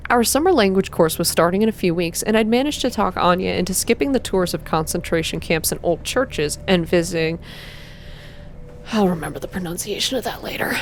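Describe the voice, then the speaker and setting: A woman reading a visual novel aloud as measured, unhurried ASMR narration. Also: on the low side, slight background noise. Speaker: ASMR reader and game player. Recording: music behind the voice. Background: background music.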